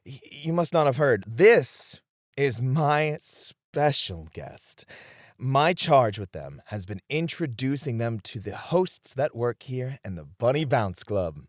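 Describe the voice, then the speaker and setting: A man playing a suave, playful club host in a flirty ASMR roleplay, with smooth, teasing, theatrical hospitality. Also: mid-range voice, very animated, takes it slowly, no room sound, clean studio sound. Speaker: club host showing a guest around.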